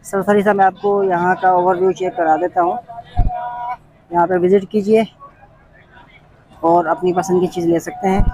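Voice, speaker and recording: A man's voice: high-pitched, little pitch movement, normal speed, long pauses, mellow, rough recording. A man vlogging a walking tour of an outdoor street market, politely pointing things out to viewers. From Pakistan. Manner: polite, addresses viewers as friends. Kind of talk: casual walking tour vlog.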